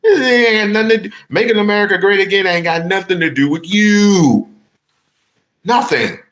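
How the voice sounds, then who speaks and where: A man's voice: high-pitched, moderate pace, a bit bright. A man hosting a heated commentary rant, slangy and swearing, repeating himself for emphasis. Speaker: commentary show host.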